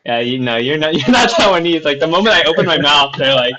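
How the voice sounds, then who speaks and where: A man's voice: on the high side, lively intonation, fast talker, bright, forceful tone. A man chatting casually on a podcast, rambling with lots of fillers and restarts. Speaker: podcast co-host. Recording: slightly dull lo-fi sound.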